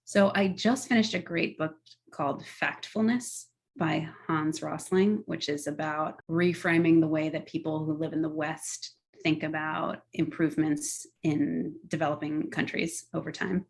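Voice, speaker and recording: A woman's voice: low-pitched, fairly even intonation, a little slow, creaky. A middle-aged woman answering podcast interview questions in an articulate, earnest, enthusiastic way. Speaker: guest, tech policy executive.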